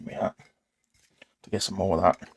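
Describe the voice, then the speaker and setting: A man's voice: low voice, flat delivery, moderate pace, creaky, a little hiss or hum. A man hosting a repair video, narrating casually in short muttered remarks to himself as he works with his hands.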